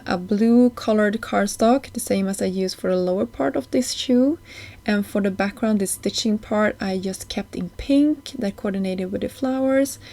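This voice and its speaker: A woman's voice: mid-range voice, a little mellow. A woman giving a casual voice-over craft tutorial as host, with slightly hesitant phrasing and small non-native grammar slips.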